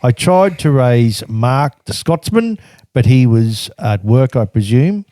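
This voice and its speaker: A man's voice: mid-range voice, very animated, takes it slowly, dark tone, no echo. An older man reading from his old travel journal and telling the stories behind it in a casual, chatty way, laughing often. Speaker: podcast guest recounting travels.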